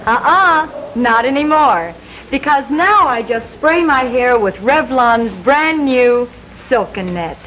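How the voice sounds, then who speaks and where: A woman's voice: high voice, lively intonation, takes it slowly, a bit bright. A woman delivering a scripted live television commercial in a vintage fifties broadcast. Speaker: commercial spokeswoman. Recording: dull, faintly noisy 1950s TV broadcast.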